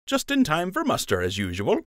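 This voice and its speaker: Brief: man playing a cheeky young rabbit pal, pitched up high, playful and lively, dry close sound. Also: brisk, no echo. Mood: teasing. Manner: smirking, playful ribbing. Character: teasing young male rabbit friend.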